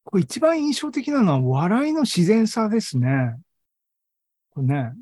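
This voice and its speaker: A man giving a casual live presentation as host, halting and thinking aloud as he goes. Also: high voice, huge pitch swings, a little mellow, slightly rough, dry recording. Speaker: podcast host and tech presenter. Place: online video meeting talk, dry close sound.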